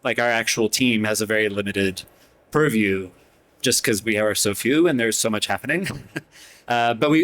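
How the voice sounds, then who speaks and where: A man speaking as a newspaper editor on a panel, relaxed and conversational. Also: mid-range voice. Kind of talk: panel discussion. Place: event hall panel.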